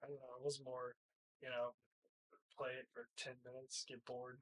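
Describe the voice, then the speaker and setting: A man's voice: medium pitch, completely flat delivery, normal speed, a bit bright, poor audio. A nineteen-year-old man, a college baseball player, answering questions casually as a podcast guest in a small room. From hill country, United States. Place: small plain room, indoors, seated.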